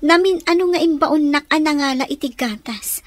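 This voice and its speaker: A woman's voice: sits high, normal speed, no room sound, close to an everyday voice. A woman playing a put-upon, plaintive young housemaid in a radio drama, with an Ilocano accent. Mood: aggrieved.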